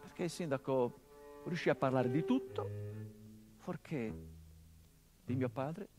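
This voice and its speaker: An actor playing a grown son who speaks to his murdered father in a theatrical monologue, quiet and reflective, with flashes of bitter emphasis. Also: medium pitch, measured pace, music in the background, lightly acted. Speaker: stage actor in a radio play.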